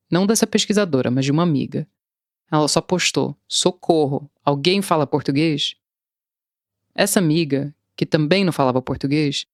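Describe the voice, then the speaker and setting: A woman's voice: deep voice, no room sound, studio quality. A woman in her thirties, a translator, telling her own story in wry, confessional scripted narration. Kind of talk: scripted first-person narration.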